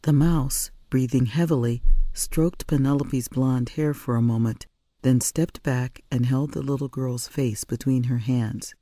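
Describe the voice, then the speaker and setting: A woman narrating a story in an expressive audiobook reading style. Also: very deep voice, a little slow, no room sound. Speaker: author or reader narrating a story.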